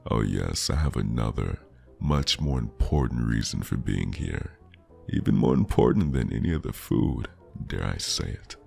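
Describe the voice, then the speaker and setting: Very deep, gravelly flirty man, voice-acted. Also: animated, moderate pace, darkish tone, soft background music, full character voice. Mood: playful, coaxing. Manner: smooth-talking, teasing, lingering delivery. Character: flirty charming male stranger at New Year's party. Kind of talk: scripted ASMR roleplay, intimate and teasing.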